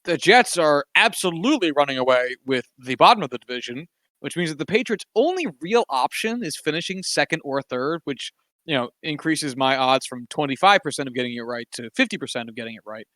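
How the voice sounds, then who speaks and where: Sports podcast guy, kinda high voice, dry close mic, snarky and rambly. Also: expressive, fairly quick, bright, forceful tone, dry recording. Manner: wry, sarcastic, rambling with many fillers. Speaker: podcast co-host. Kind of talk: casual sports podcast banter.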